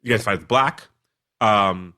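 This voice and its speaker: A man's voice: lowish voice, normal speed, pushed and bright. A man from Canada co-hosting a radio talk show, chatting casually with frequent laughs and rambling restarts. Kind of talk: casual chat.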